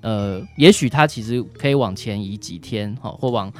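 Man, Taiwanese accent, lowish voice, doctor guest, conversational explanations, tag particles, faint guitar music behind. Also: normal speed. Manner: frequent short tag particles at phrase ends. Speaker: doctor answering questions, guest. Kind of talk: conversational explanation on a radio show.

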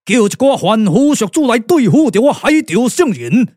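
A man's voice: very high voice, sing-song, normal speed, a little mellow, no room sound, studio quality, heavily acted. A man voicing a proud old immortal sage in a Taiwanese puppet radio play, theatrical and exclamatory, with a Taiwanese accent. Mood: baffled, indignant. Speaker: puppet theatre narrator and voice performer. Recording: music under the voice.

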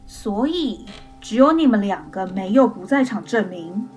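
A woman performs a young female student investigating in a radio mystery. She is cool, deliberate and pointed, in a mid-range voice. Clear background music runs under her words. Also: medium pitch, lively intonation, darkish tone, lightly acted. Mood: probing. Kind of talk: mystery radio drama acting.

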